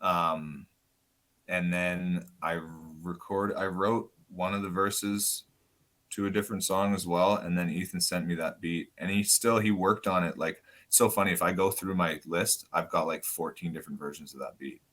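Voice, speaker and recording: A man guest on a podcast, talking loud and casual, swearing often and rambling as he goes. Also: low-pitched, fairly even intonation, moderate pace. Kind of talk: casual chat.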